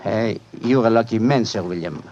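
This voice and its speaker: An actor playing an affable, gossipy French police inspector in a 1940s radio drama, courteous and hesitant, with a French accent. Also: male voice, fairly low pitch, normal speed, a little mellow, slight background noise, natural voice. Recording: dull vintage radio recording.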